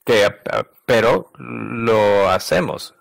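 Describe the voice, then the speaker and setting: Low-voiced male interpreter, halting delivery. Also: lively intonation, vocal fry, no echo. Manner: halting, stop-and-start delivery with self-corrections. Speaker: interpreter voicing a translated conversation.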